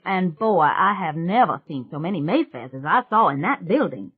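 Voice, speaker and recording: A woman voicing a brash young country woman who chatters loudly and gushes. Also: on the low side, big pitch swings, breathy edge. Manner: loud, gushing, rapid chatter. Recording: tinny phone-like sound.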